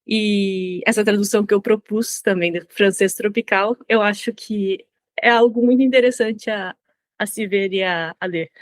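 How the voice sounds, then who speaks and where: A woman's voice: medium pitch, fairly even intonation, normal speed, no room sound. A Brazilian woman being interviewed as a language consultant, speaking reflectively and often restarting her phrases. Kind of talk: conversational interview.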